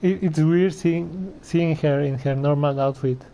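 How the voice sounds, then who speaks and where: Male game streamer: slightly high, gravelly and soft-toned, casual running commentary, lo-fi track. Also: unhurried, slight background noise.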